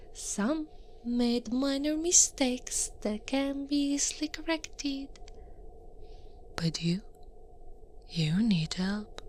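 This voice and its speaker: Italian woman playing a huge monster woman, breathy whisper, slow and deliberate, coaxing menace, ASMR roleplay, noisy ambient backdrop. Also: fairly high pitch. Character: towering Krampus monster woman, stern yet soothing captor.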